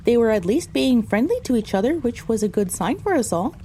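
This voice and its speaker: A woman's voice: on the high side, big pitch swings, brisk, warm tone, a little hiss or hum. A woman voicing a pony who narrates her own story, reading scripted first-person audio drama narration. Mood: reflective.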